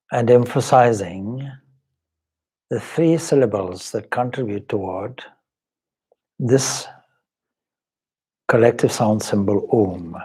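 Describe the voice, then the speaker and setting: A man guiding a meditation as a spiritual teacher, speaking in a measured, solemn manner with long pauses. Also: lowish voice, dark tone, breathy edge, rough recording. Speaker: swami leading guided meditation. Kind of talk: guided meditation, spoken instruction.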